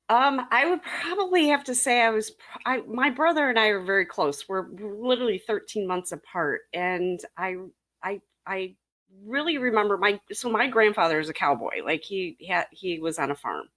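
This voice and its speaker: A woman's voice: medium pitch, big pitch swings, moderate pace, fairly bright tone. A woman urology nurse chatting on a panel, rambling with restarts and clinical jargon. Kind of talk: casual panel chat.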